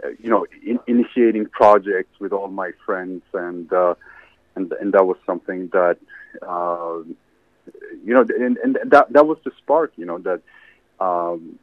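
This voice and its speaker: A man from Sweden, answering interview questions in a rambling, halting way with many restarts. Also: deep voice, no room sound. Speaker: interview guest, entrepreneur. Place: salad bar. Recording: tinny phone line.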